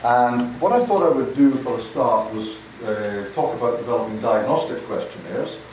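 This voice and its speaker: A man's voice: medium pitch, normal speed, a little mellow. An older man with a Scottish accent giving a chatty, self-deprecating university lecture, often repeating words. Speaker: guest lecturer. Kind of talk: academic lecture. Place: large lecture hall. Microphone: handheld mic, heard from a distance. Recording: echoey hall, distant mic, faint noise.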